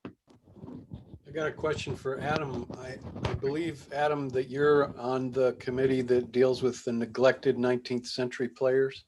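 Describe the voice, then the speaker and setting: A man's voice: mid-range voice, little pitch movement, unhurried, darkish tone. A man casually asking a question as an attendee on a video call. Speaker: audience member asking a question. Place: remote video meeting. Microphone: video call microphone. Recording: noisy video call with clicks.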